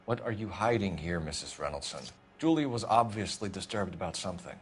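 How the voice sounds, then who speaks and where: A man's voice: low-pitched, lively intonation, a little fast, slight background noise. A man playing a stern 1940s police detective, questioning a witness formally and probing for answers in a video game scene. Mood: accusing.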